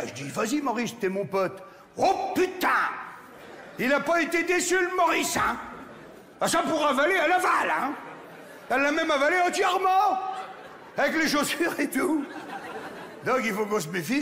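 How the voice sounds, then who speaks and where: Rowdy high-voiced stand-up comedian. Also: male voice, a little hiss or hum. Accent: Champagne. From France, Champagne region. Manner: crude, animated, talks straight to the audience. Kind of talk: live stand-up routine. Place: large theatre stage. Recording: live theatre with long reverb and crowd.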